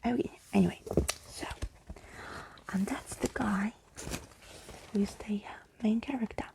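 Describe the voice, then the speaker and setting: A woman speaking softly and intimately in an ASMR bedtime storytelling style, as if to one listener, with a breathy, husky, creaky voice. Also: medium pitch, unhurried, noisy background. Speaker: ASMR storyteller reading a book aloud. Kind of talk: soft-spoken ASMR bedtime roleplay and reading.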